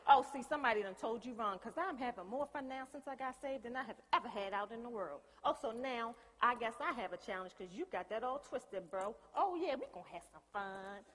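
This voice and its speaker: Sassy, high-pitched church-going boss. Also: female voice, expressive, fairly quick, hardly any pauses, fairly bright tone. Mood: challenging. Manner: sassy, firm, teasing. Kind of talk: comic stage play acting. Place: large indoor theatre stage. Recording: echoey theatre stage, long reverb.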